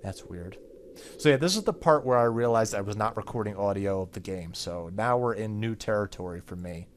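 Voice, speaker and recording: A man's voice: fairly low pitch, fairly quick, a little hiss or hum. A man hosting a gaming video, reading story narration aloud and adding casual, joking asides. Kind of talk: let's play commentary, reading game narration aloud.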